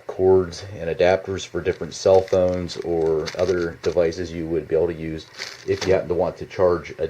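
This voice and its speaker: Deep-voiced man reviewing gear, casual. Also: mellow, slight background noise. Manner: halting, rambling, informal with mild swearing. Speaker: product reviewer. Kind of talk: casual unscripted product demonstration. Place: indoors in a home basement. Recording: slightly dull, lo-fi home video sound.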